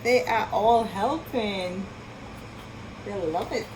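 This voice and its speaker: A woman reading a picture book aloud to children in an expressive storytelling style, with marvelling asides and questions to her young listeners. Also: mid-range voice, takes it slowly, background noise.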